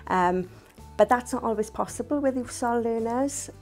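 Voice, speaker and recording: Female language teacher, mellow darker voice, measured, soft music behind. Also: on the high side. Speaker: Welsh teacher. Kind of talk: explaining to camera.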